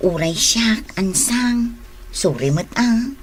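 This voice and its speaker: A woman playing a hospitable, humble village housewife in a radio drama, speaking in a homely, expressive way. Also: on the high side, slow pace, slightly rough, exaggerated voice. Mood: apologetic.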